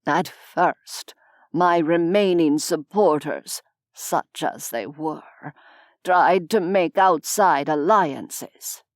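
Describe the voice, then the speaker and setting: A wry, world-weary old nobleman tells his tale in an audiobook, voiced by a woman. The voice sits low and moves at a very unhurried pace. The sound is dry and close. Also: no echo, exaggerated voice. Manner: slow, measured, dryly self-mocking. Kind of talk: audiobook character voice.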